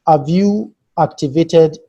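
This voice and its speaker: A husky, mellow-toned man runs a live video-call workshop, stepping through a data tool on screen. He talks patiently and keeps checking in with attendees by name. His voice comes through a computer mic on the call. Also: a little high, slow. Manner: patient, asks participants for feedback by name. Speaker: online workshop trainer. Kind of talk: live screen-share tutorial.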